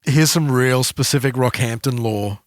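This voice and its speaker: A middle-aged Australian man in casual podcast chat, slangy and quick to chime in. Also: medium pitch, dry recording, clean studio sound. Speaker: podcast co-host.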